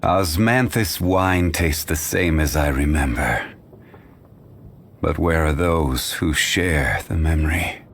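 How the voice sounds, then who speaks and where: An actor voicing a dignified, ancient, learned man in a video game, speaking in a measured, formal and courteous way. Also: very deep voice, a little hiss or hum, exaggerated voice. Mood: calm, formal.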